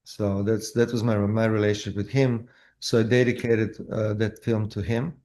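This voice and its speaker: Halting low-voiced man from Croatia. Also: level tone, darkish tone. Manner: halting, with frequent restarts and fillers. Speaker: filmmaker guest. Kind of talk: casual interview.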